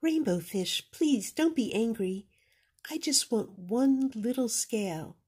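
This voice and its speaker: A woman acts out a tiny blue boy fish from a children's storybook. The voice is lifted high, eager and playful. It pleads politely and sweetly, like a small child asking a favor. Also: expressive, slow pace, darkish tone, husky, heavily acted. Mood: gentle pleading.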